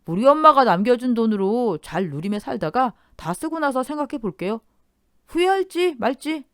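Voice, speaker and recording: A woman's voice: fairly high pitch, sing-song, moderate pace, fairly bright tone, dry recording, studio quality, pitched above the performer's own voice. A woman voicing a spiteful, greedy younger brother-in-law who talks in a sneering, mocking way in an audio drama.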